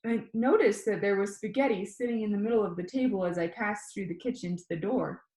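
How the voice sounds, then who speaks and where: A woman reading a children's novel aloud like a teacher, in an informal, expressive way. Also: mid-range voice, a little fast. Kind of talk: storybook narration read aloud. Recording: echoey room.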